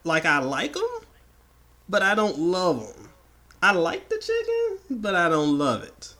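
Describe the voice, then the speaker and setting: A man from the American South co-hosting a podcast, hoarse and raspy from the flu, joking and opinionated in casual chat. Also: sits high, big pitch swings, a little slow, sharp tone. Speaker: podcast co-host.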